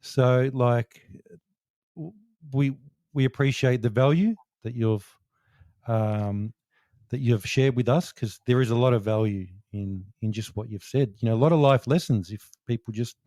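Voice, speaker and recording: A man's voice: mid-range voice, unhurried, mellow, no room sound. A man co-hosting a casual podcast chat in a mid-range voice. He rambles and jokes, circling back with fillers and restarts. The recording is dry and close, with no room sound. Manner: joking, rambling wrap-up with many fillers. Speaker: podcast co-host.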